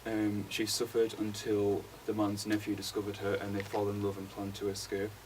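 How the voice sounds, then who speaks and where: Low, very monotone young man, distant mic. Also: moderate pace. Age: young adult. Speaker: college student presenting a project. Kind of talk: informal class presentation. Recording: distant mic, faint room noise.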